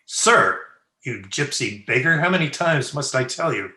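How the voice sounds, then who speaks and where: A man's voice: medium pitch, animated, unhurried, pushed and bright. A man playing a cruel, jealous young master in an old-time radio drama, sneering and commanding. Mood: bullying command. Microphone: remote video call. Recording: echoey home video-call recording.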